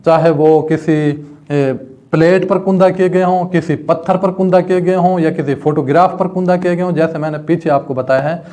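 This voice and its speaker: A man's voice: fairly high pitch, fairly even intonation, normal speed, mellow. A man with a Pakistani accent lecturing as a law teacher, didactically reading out and explaining material, often posing questions and answering them himself.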